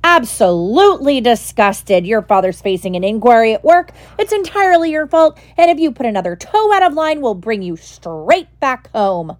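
Furious shrieking mother, a woman putting it on, high and loud, scolding hard. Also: sing-song, normal speed, few pauses. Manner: shrill scolding yells. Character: furious mother shouting by letter.

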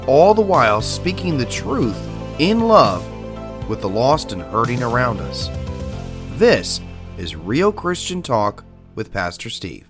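A man who pastors and hosts a faith podcast, talking in an earnest, emphatic way with a nasal voice and frequent filler sounds. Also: medium pitch. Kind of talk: podcast sermon talk.

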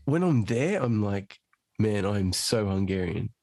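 A man's voice: lowish voice, animated, measured pace, darkish tone, no echo, clean studio sound. A man chatting as a podcast guest, eager and enthusiastic, often calling people "man" and "dude". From Australia. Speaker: guest musician. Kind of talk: casual conversation.

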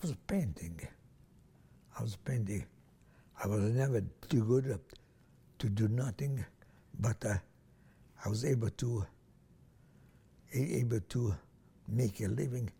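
A man's voice: mid-range voice, a little slow, pauses often, mellow. An older man from Transylvania, a native Hungarian speaker, recalling his life in an oral history interview, with many restarts. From Transylvania, Romania. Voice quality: gravelly, husky, hesitant with many restarts.

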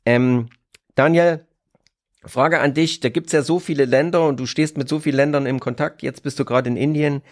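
Male host, mid-range pitch, halting delivery with lots of fillers. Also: steady tone, a little mellow, dry recording, studio quality. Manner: hesitant, with many fillers, reads out quotes. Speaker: podcast host. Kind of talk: podcast interview.

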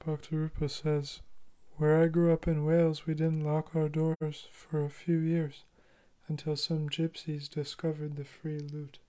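A voice reading listener comments aloud in an even, measured way. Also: mid-range voice.

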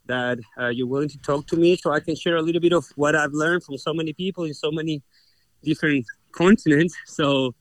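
A man's voice: fairly high pitch, steady tone, brisk, dry recording, rough recording. Chilean-accented man, earnest interview guest. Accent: Chilean Spanish. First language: Spanish. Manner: earnest, frequent restarts and repeated words. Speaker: horse trainer.

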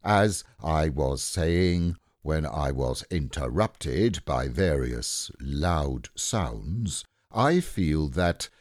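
A man's voice: extremely low pitch, animated, slow pace, darkish tone, no echo, clean studio sound, exaggerated voice. A man voicing a gloomy, self-important donkey who speaks in a pompous, stern, ponderous manner.

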